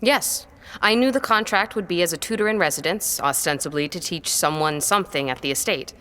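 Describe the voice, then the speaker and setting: Young female tutor played by an actress, audio drama: lowish voice, formal, precise, guarded, earnest. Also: a little fast, a bit bright, close to an everyday voice. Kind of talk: audio drama acting with formal first-person narration.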